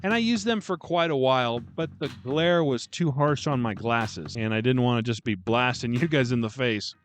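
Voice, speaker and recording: A man with a deep voice giving casual, self-deprecating vlog tips at home, talking close into a dynamic microphone. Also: moderate pace, a little hiss or hum. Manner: chatty, self-deprecating, animated. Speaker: booktube vlogger. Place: home room lined with bookshelves.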